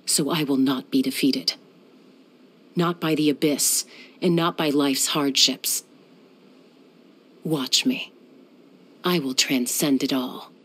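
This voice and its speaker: An actress voicing a young warrior woman who is a peacemaker, speaking in a calm, measured way with a dry, teasing edge. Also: extremely low pitch, slightly rough, exaggerated voice. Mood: resolute. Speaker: game character. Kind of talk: game voice lines.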